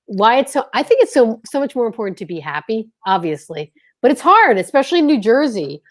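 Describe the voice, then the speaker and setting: A woman's voice: fairly high pitch, very animated, brisk. A woman co-hosting a casual interview, talking in an effusive, encouraging way. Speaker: co-host.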